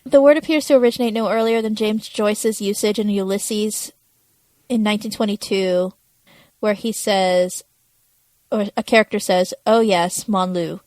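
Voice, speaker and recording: A woman's voice: medium pitch, fairly even intonation, moderate pace, darkish tone, no room sound. A woman co-hosting a podcast, explaining things in a casual, understated and somewhat tentative way. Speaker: podcast co-host.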